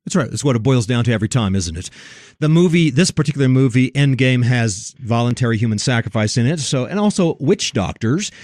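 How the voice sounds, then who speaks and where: A man's voice: mid-range voice, lively intonation, a little fast, darkish tone, dry recording, clean studio sound. A man hosting a religious discussion, giving opinionated commentary in an emphatic, preacherly way that leans on key words.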